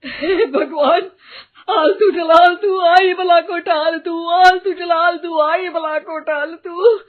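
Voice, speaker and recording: Woman putting on a shrill, panicky older mother-in-law. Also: steady tone, takes it slowly, phone-quality sound. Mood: panicked wailing. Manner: wailing, exclaiming, fussy. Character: dramatic, superstitious older Indian mother-in-law, easily panicked. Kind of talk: acted dialogue in a storybook tale.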